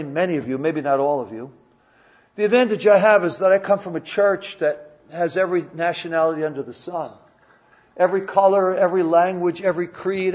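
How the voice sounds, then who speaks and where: A high-pitched male pastor preaches with earnest repetition, stumbling over restarts and tossing questions to his listeners. The recording is dull and lo-fi, like an old church tape. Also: darkish tone. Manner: repetitive stammering restarts, conversational questions to the congregation. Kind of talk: sermon.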